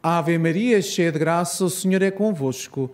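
A man with a European Portuguese accent leading a rosary, reciting solemn prayers in a measured, reverent way. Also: on the high side, a little mellow.

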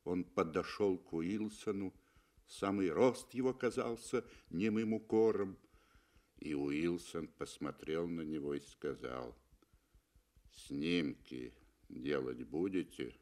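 A male actor narrates a literary story in the manner of 1960 Soviet radio. His voice is fairly low and his pace very slow. He is theatrical and deliberate, leaving weighty pauses. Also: animated, dark tone.

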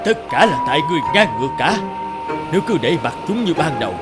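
An actor voicing a reproachful, agitated turtle servant in a cartoon fairy tale. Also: male voice, on the high side, very animated, fast talker. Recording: music behind the voice.

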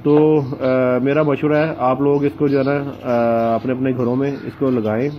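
A man's voice: mid-range voice, flat delivery, a little hiss or hum. A man in an easygoing outdoor gardening vlog, his voice caught on a handheld phone with dull, muffled sound. He talks in a mid-range voice, stopping often with filler sounds, and faint open-air ambience sits behind him. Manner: hesitant, many filler sounds and pauses. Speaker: gardening channel host. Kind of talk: casual home gardening vlog. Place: outdoors among potted plants.

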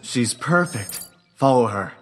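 A woman's voice: very deep voice, lively intonation, measured pace. A woman voicing a smug, impatient young male leader in an anime parody dub. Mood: cool, focused. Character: bossy, smug young man, impatient leader of a secret project. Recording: music under the voice.

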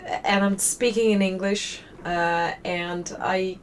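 A woman's voice: mid-range voice, steady tone, slow pace, a little hiss or hum. Chatty Swedish woman rambling over a game. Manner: chatty, rambling, wry asides. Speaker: let's play host. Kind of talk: casual gameplay commentary.

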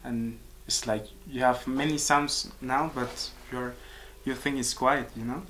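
A man's voice: mid-range voice, steady tone, a bit bright, poor audio. Peruvian guy in his 20s, composer, keeps restarting sentences, small office, bit of hiss. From Peru. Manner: searching for words, halting restarts. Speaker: composer and musician. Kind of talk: casual interview answers. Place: small office with pictures on walls.

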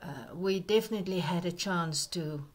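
A woman narrating a birding slideshow as a scripted voice-over, gentle and reflective, with soft breathy asides. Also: lowish voice, moderate pace. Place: voice-over recorded apart from the pictured outdoor scenes.

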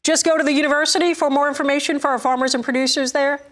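A woman's voice: sits high, steady tone, very fast, a bit bright. Middle-aged woman news anchor. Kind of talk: farm news interview. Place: TV studio.

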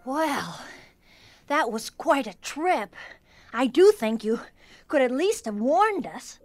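A woman's voice: high-pitched, big pitch swings, slow, no echo, heavily acted. A woman playing a precocious, self-assured little boy in an audio drama, matter-of-fact and knowing. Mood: wry.

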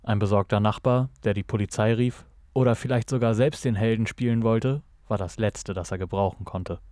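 A man narrating a scripted noir audio drama in a measured, dramatic storytelling manner. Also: lowish voice, a little mellow, no room sound, studio quality.

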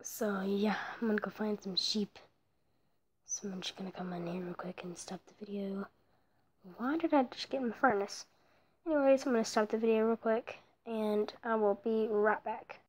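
A woman giving casual commentary over her own mobile block-building gameplay, rambling and often dropping into soft whispered asides, recorded on her phone. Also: mid-range voice, steady tone, normal speed, a little hiss or hum. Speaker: let's play creator.

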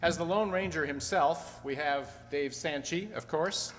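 A man's voice: a little high, a little slow. A man in his sixties, speaking as an announcer reading from a script on stage. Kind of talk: scripted cast credits in radio announcer manner. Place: on stage in a community hall. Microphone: stand microphone. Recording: echoey hall, loud audience and noise.

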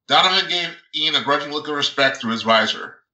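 A man's voice: fairly high pitch, expressive, a little fast, pushed and bright. A man, an author reading his own science fiction novel aloud as dramatic narration, stumbling over a word now and then. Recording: roomy, echoing sound.

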